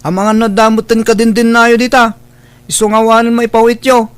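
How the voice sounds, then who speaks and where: Man acting very high-pitched, petulant Filipino youth. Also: monotone, moderate pace, clear, resonant tone, no echo. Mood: demanding. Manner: petulant, curt. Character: sullen, demanding, disrespectful young son. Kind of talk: Ilocano radio drama acting.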